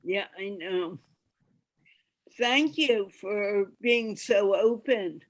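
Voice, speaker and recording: A woman's voice: mid-range voice, drawn-out words. A woman hosting an online meetup, interviewing guests conversationally over a video call. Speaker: host.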